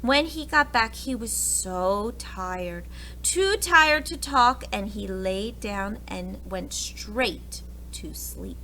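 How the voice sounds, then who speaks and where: Animated woman reading to preschoolers, slow pace. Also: medium pitch, slight background noise, low-quality recording. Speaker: preschool teacher reading aloud. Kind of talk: animated storybook read-aloud for young children.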